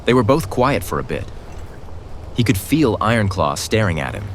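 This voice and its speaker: A man's voice: on the low side, sing-song, normal speed, noisy background. A man narrating a scripted audio drama as a dramatic storyteller.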